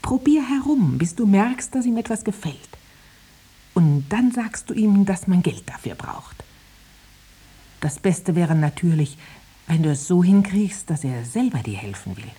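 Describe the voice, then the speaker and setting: A woman's voice: lowish voice, very animated, unhurried, warm tone, husky, full character voice. A woman playing a greedy, nagging farmer's wife in a 1950s radio play, urging and coaxing in a conspiratorial way. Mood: coaxing, impatient.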